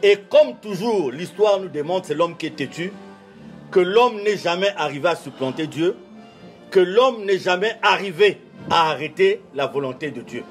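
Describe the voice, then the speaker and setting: A high-voiced man speaking French as a second language, delivering a formal interview statement as a church official. He talks in a measured, oratorical way, repeating phrases for emphasis. Faint music plays behind him. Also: expressive. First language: not French.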